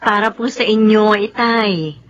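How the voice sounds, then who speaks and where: An actress playing a devoted, stubborn young adult Filipino daughter in a radio drama, emphatic and earnest. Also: female voice, mid-range voice, measured pace, dull audio, close to an everyday voice. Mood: earnest, coaxing. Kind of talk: Tagalog radio drama acting.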